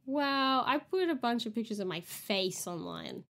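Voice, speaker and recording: A woman's voice: fairly high pitch, expressive, unhurried, a bit bright. A woman with a mild Australian accent co-hosting a podcast, chatting casually with lots of laughs and hesitant fillers. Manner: giggly, hesitant, many fillers. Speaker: podcast co-host.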